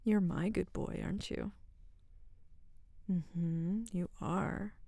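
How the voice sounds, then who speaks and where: A woman performing an ASMR roleplay in a soft, teasing, drawn-out whisper, close to the mic. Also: on the low side, fairly even intonation, creaky, dry recording.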